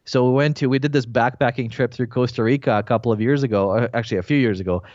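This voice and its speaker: A man's voice: mid-range voice, fast talker, no room sound. A Bosnian-born man with Croatian as his first language talks through his past in an easy, rambling interview manner. He sounds like he is in his forties. The sound is dull and lo-fi, very dry, with no room around it. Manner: relaxed storytelling with fillers. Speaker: guest, entrepreneur. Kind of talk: casual interview chat.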